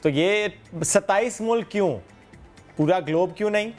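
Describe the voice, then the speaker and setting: A woman's voice: medium pitch, normal speed, a little mellow, soft background music. A woman hosting a morning TV show, asking a child guest playful, teasing questions. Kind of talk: television interview. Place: television studio.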